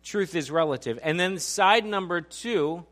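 Guy in his 40s preaching, pretty high voice, chatty and jokey, keeps asking the crowd stuff. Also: expressive, a little slow, a bit bright. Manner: conversational, joking, quizzes the congregation. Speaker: pastor. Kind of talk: sermon. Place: church auditorium.

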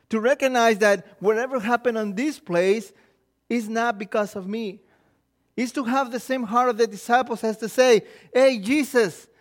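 A middle-aged Mexican-accented man preaches with a notably high voice. He tells stories animatedly, acting out the quoted thoughts of himself and others and often restarting his sentences, as a non-native speaker of English. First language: Spanish. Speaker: pastor. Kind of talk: sermon.

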